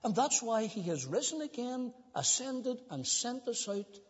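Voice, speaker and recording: An older man giving a storytelling children's sermon in church, emphatic and sometimes dropping to a hushed voice. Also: very high-pitched, sing-song, measured pace, husky. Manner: storytelling, emphatic, drops to a hushed voice at key points. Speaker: preacher. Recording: dull recording in a reverberant hall.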